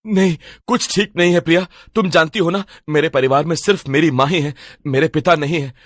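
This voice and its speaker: A man voicing a worried young ex-boyfriend in an animated story, urgent, pleading and a little hesitant. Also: on the high side, brisk, no echo, clean studio sound, lightly acted. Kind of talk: dramatic cartoon story voice acting.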